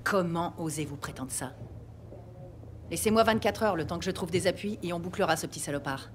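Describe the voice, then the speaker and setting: An actress playing an indignant, stern police captain in an audio drama. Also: female voice, fairly low pitch, a little fast, slight background noise, close to an everyday voice. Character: indignant, self-protective female police captain. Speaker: voice actor. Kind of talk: French audio drama acting.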